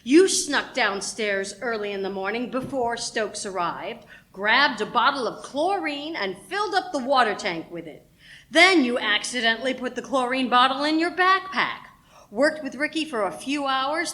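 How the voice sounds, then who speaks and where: Lady acting cutting station woman in a radio mystery, high swoopy voice, super pointed. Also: animated, normal speed, bright, forceful tone. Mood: scornful, accusing. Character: sharp-tongued, accusing woman at a radio station. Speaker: actor in a radio play.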